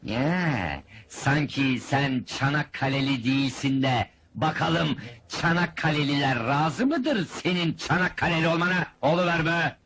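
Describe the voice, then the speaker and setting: An actor playing a wily, bossy fairground boss in a comedy film, with pompous huckster patter. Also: male voice, a little high, unhurried. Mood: bossy, wheedling. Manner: loud huckster patter, pompous mock-formal announcements. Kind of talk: comic film dialogue and fairground barking.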